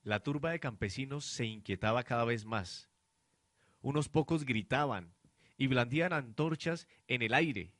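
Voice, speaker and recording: A man's voice: mid-range voice, a little slow, fairly bright tone, dry recording. Dry-sounding male drama narrator.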